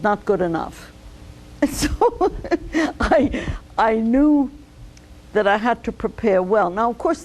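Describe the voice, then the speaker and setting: An older woman, a physician, telling her life story slowly and thoughtfully in an oral history interview. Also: mid-range voice, a little mellow, a little hiss or hum, rough recording. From United States. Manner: measured, reflective storytelling.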